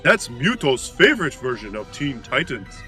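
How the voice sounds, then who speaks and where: A man's voice: mid-range voice, very animated, normal speed, sharp tone, soft background music. A man voicing a childlike, sensitive and confused cloned creature in an audio comedy, talking in stilted broken English about itself in the third person. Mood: matter-of-fact.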